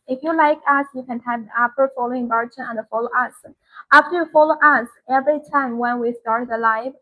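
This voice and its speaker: A woman's voice: sits high, poor audio. A woman giving a live-stream sales demonstration in an instructional, repetitive way. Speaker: live-stream product seller.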